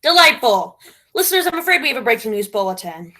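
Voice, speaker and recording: A woman's voice: a little high, very animated, quick pace, bright, forceful tone, a little hiss or hum. A woman playing a domineering, self-important playwright and director in a theatrical comedy, with a grandiose, imperious manner. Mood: grand announcing. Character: pompous, domineering female radio playwright-director, self-declared genius.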